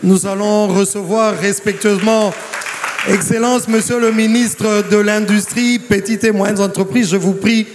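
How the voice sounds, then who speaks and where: A man's voice: high-pitched, steady tone, unhurried, over music, a crowd in the background. A man moderating a conference panel in a formal, ceremonious register. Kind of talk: formal live event moderation. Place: large conference hall. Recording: reverberant conference hall.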